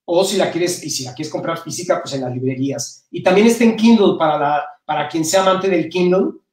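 A middle-aged man from Mexico with a Mexican accent touched by Spain, talking casually and haltingly as an interview guest. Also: a little high, darkish tone. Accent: Mexican Spanish with some Spain influence. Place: indoor room at home, on a video call. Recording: roomy, distant-mic video call.

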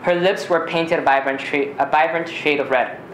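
A teenage male from Ecuador, a native Spanish speaker, reading a personal story aloud in a measured, deliberate way with occasional stumbles. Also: fairly high pitch, steady tone, fairly bright tone. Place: small community theater stage. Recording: roomy live stage recording, faint noise.

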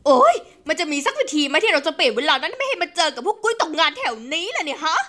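A prissy high-society demoness, as a woman voices her, scolding and snobbish. Her voice is very high and bright, quick and full of swoops. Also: sing-song, exaggerated voice. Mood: snooty. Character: snooty upper-class female demon, adult, prissy. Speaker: voice actor in a fan dub. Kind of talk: cartoon fan dub acting.

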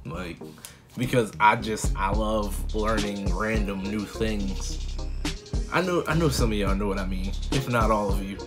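An American man around his thirties giving casual, slangy reaction commentary as a video host, expressive and full of exclamations. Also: fairly low pitch, unhurried, music in the background. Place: small bedroom indoors.

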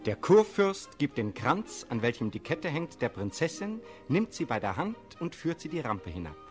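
Lively, melodic male narrator. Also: a little high, normal speed, faint music behind. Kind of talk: scripted radio play narration of stage directions.

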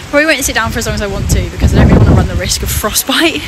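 A woman's voice: mid-range voice, expressive, rapid-fire, over loud music. A woman vlogging outdoors on a hike, talking in a casual, reflective way. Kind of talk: casual reflective chat while hiking. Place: outdoors on a hiking trail. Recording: very noisy outdoor wind and water sound.

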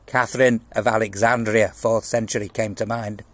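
A man voicing an earnest, pious schoolboy who solemnly recites facts in a storybook reading, with a husky voice. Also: lowish voice, flat delivery, moderate pace, a bit bright, no room sound, lightly acted. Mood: proudly informative.

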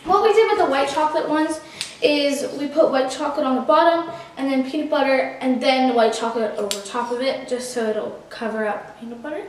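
A woman's voice: high voice, brisk. A teenage girl chatting playfully as co-host of a casual home cooking vlog, recorded in a kitchen by a far-off camera. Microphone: far camera on a tripod. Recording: echoey kitchen, noisy, from afar.

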